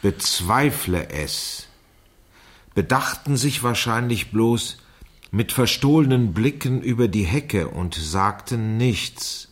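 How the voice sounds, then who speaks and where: A man reading out a girl's diary in a radio crime play. His low voice swings widely in melody, and he takes his time over each phrase. The delivery is mocking and theatrical. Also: expressive, slow pace. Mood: dramatic, mocking. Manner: theatrical, dictates punctuation marks aloud. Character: Russell, male reader of a teenage girl's diary, mocking.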